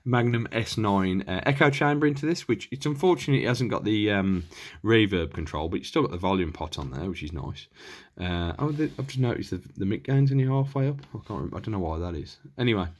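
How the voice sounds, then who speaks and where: Low-voiced man, rambling through a demo. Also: animated, a little fast, hardly any pauses. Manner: rambling, hesitant, thinking aloud while handling gear. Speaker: hobbyist presenter reviewing radio equipment. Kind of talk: casual hands-on demonstration, unscripted.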